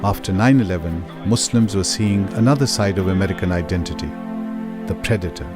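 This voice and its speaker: Low serious male narrator over music. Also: a little fast. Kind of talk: scripted documentary narration.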